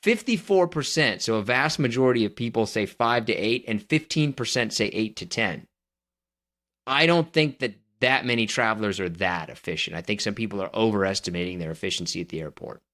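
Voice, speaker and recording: Blunt, opinionated man with a lowish voice. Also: brisk, fairly bright tone. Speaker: podcast co-host. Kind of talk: casual chat.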